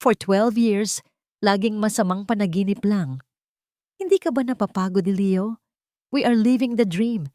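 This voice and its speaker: A woman's voice: mid-range voice, a little slow, warm tone, no echo, clean studio sound, pitched above the performer's own voice, lightly acted. A woman voicing a cold, taunting wealthy wife in a story, irritated and goading, switching between Tagalog and English.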